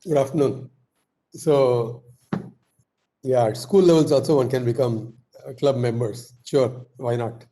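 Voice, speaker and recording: A man leads an online session with short, encouraging replies. His voice is mellow and warm. A faint hiss sits under the call. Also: medium pitch, unhurried. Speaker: workshop lead professor. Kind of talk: online workshop moderation. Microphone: video call.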